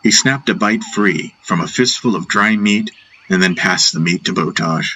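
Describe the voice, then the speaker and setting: A man narrating a fantasy audiobook in a measured, dramatic storytelling style. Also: sits low, sharp tone, poor audio.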